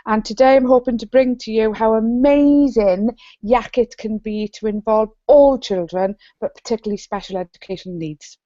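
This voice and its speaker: A woman's voice: on the high side, normal speed, dark tone, no echo. A woman from South Wales with a Welsh accent, an author and trainer giving an enthusiastic app demo on a video call. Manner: bubbly and enthusiastic. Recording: dull lo-fi video call audio.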